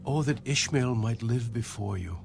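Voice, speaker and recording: An actor playing an aged, humble, questioning patriarch with a creaky voice in a dramatized audio Bible. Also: male voice, mid-range voice, lively intonation, normal speed, music in the background. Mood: humble wonder. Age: older.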